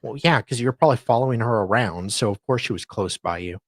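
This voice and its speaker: A man's voice: medium pitch, fast talker, husky, no echo, clean studio sound. A man co-hosting a casual commentary, adding offhand, speculative asides. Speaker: co-host.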